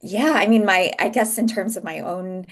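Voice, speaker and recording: An American woman in her thirties, a guest author talking thoughtfully about her book over a video call, with frequent pauses. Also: medium pitch. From United States. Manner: thoughtful, soft-spoken, pauses often. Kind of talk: reflective conversation.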